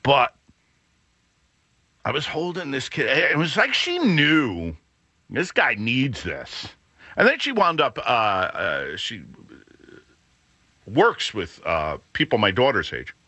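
Middle-aged male radio host, booming and animated, with a bright, husky tone and a lively pitch. He rambles and restarts as he chats. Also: slow pace, long pauses, no echo. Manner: rambling, self-interrupting, laughs at own jokes. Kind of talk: casual storytelling chat.

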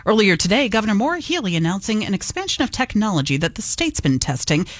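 A woman reads radio news copy in a smooth, measured anchor delivery. The sound is dry and close with no room echo. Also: medium pitch, sing-song. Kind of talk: scripted news reading.

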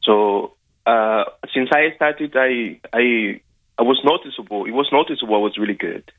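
A man's voice: medium pitch, normal speed. A man from Cuba with a Cuban Spanish accent, a Spanish speaker, talking as an interview guest, hesitant with many fillers and restarts. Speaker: guest, wrestling coach. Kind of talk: casual interview over a call. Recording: tinny phone-like line.

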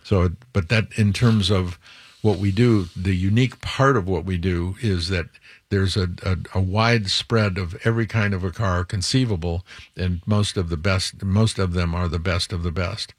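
A man's voice: low-pitched, fairly even intonation, moderate pace, no echo. An older man speaking as an interview guest, rambling a little and correcting himself as he lists things. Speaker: museum executive guest. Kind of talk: interview conversation.

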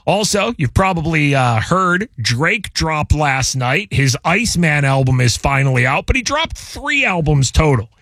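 Bright-voiced joking male radio host. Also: a little high, sing-song, vocal fry, dry recording, studio quality. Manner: jokey, laughing, quick asides. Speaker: radio morning show host. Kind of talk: casual radio banter. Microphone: studio microphone.

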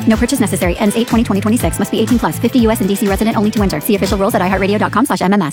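A woman's voice: medium pitch, fairly even intonation, racing. A woman reading an upbeat radio ad and rattling through the legal fine print. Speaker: ad announcer. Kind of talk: upbeat ad read with fast legal disclaimer. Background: music.

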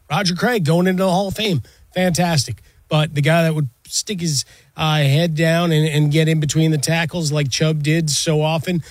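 A man's voice: fairly high pitch, no echo. A man co-hosting a sports talk show, reflective and nostalgic as he talks things over casually. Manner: reflective, nostalgic, with fillers and restarts. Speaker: sports podcast co-host.

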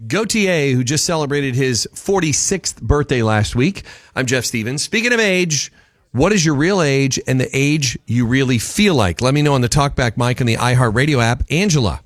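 A man's voice: medium pitch, animated, normal speed, dry recording, studio quality. A man hosting a radio show, chatting casually and upbeat with a caller. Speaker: radio host.

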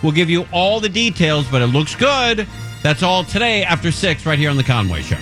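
A man's voice: on the high side, very animated, music in the background. A man reading a short, upbeat promo for a radio talk show. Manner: brisk, upbeat.

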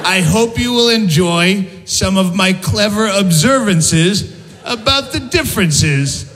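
Slow, high, animated American male comic. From United States. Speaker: stand-up comedian. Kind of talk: stand-up comedy to a foreign audience. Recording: echoing hall with loud audience.

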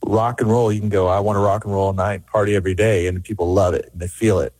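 A man's voice: fairly low pitch, fairly even intonation, fast talker, darkish tone, slightly rough, no echo. A middle-aged man, a record producer, talking in an interview with many restarts and fillers. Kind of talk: conversational interview.

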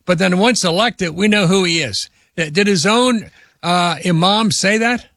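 A man hosting a talk radio show, interviewing a guest with emphatic, drawn-out questions. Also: sits high, a bit bright, no echo, studio quality.

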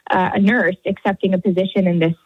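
A woman in her thirties with an American accent, giving an earnest, halting personal account as a radio interview guest. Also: medium pitch, steady tone, breathy edge. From Houston area, Texas, United States. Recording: narrow phone-like line, roomy echo.

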